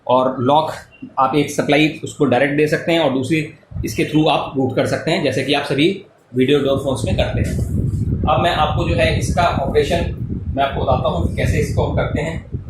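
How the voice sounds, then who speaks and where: An Indian man with a slightly high voice walks through a product tutorial step by step. He restates key points for the listener. The recording sounds echoey, with clear noise behind him. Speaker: product demonstrator.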